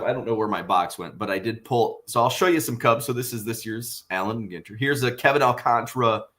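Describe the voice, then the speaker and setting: A man with a somewhat low voice, still husky from the morning, talks through his vlog in a loose, rambling way. He fills his pauses with plenty of ums and uhs and keeps the tone conversational. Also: fairly bright tone. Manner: rambling, filler-heavy, conversational. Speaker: card collecting vlogger.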